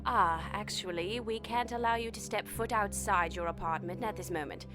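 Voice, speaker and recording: An actress playing a businesslike quarantine official in an audio drama, measured and evasive in her delivery. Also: mid-range voice, music in the background, close to an everyday voice. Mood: official, restrained. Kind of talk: naturalistic audio drama acting.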